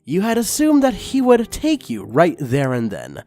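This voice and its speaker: A man narrating a fan fiction story aloud in a steady, expressive reading voice. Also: fairly high pitch, a little slow.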